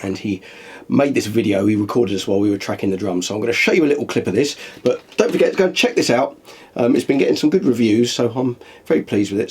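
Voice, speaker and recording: A man's voice: lowish voice, a little mellow, husky. A middle-aged man, a musician talking casually to camera with a chatty, dry self-deprecating humour. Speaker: musician vlogger.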